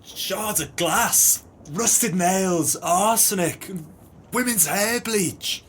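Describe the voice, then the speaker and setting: A man's voice: sits high, drawn-out words, a bit bright, a little hiss or hum, exaggerated voice. A man playing a bitter, scheming swindler in an audio play, with theatrical, grandiloquent speech. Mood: despairing outrage. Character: bitter, scheming male swindler, eloquently exasperated.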